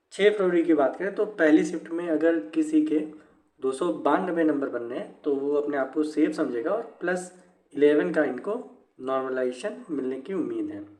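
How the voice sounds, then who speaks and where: Male explainer, slightly high voice, halting informal delivery, very roomy sound. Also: a little mellow. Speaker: exam news presenter. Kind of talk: informal explanatory commentary on exam cut-off scores. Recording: echoey room.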